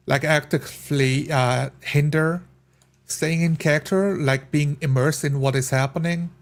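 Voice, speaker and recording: A man's voice: a little high, fairly even intonation, unhurried. A man in casual discussion of tabletop game rules, giving opinions and reasoning through examples.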